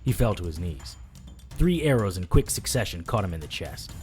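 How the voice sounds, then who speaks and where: An actor playing a battered, wry private investigator, narrating in the first person in an audio drama. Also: male voice, low-pitched, big pitch swings, moderate pace, loud music behind the voice. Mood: urgent narration. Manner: dry, hard-boiled.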